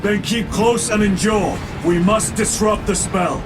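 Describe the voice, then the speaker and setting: An actor playing a fierce, resolute armored space marine in a dramatic video game, forceful and declamatory, under music and rumble. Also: male voice, steady tone, measured pace. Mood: grim resolve.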